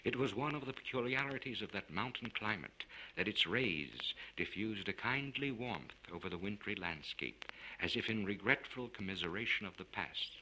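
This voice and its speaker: Deep-voiced guy reading an old story, really animated and theatrical, faint noise like a vintage record. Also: normal speed. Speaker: narrator of a literary short story. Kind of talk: scripted dramatic narration. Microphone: studio recording for LP. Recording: noisy vintage spoken-word record.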